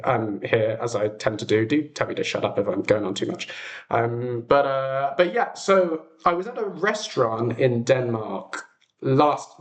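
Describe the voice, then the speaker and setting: A man in his twenties with an English accent, rambling casually to a livestream chat in a chatty, self-deprecating manner. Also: medium pitch. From England. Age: 20s. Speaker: livestream host.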